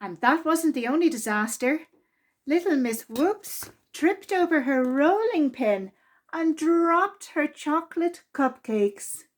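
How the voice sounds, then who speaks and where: High-pitched woman reading a children's story slowly. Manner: deliberate, expressive reading aimed at young children. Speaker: library storytime reader.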